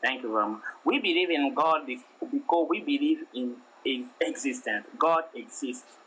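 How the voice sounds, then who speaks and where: Caller, male, French-speaking African accent, bright and earnest, halting delivery. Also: mid-range voice, a little hiss or hum, poor audio. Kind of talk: live debate call-in.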